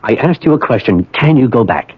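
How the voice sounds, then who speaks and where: An actor playing a troubled, wistful American man in a radio drama, speaking with halting, earnest emotion. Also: medium pitch, expressive, slightly rough. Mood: anguished, insistent. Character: troubled, wistful man insisting he relived his past. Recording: dull old radio broadcast, music behind.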